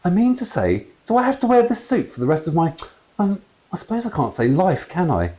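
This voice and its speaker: Guy doing a fussy rambling ghost dad from a kids story, pitched up, bouncy. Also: huge pitch swings, fairly quick, mellow. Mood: mumbling, fussy. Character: chatty, grumbling ghost father with theatrical tastes.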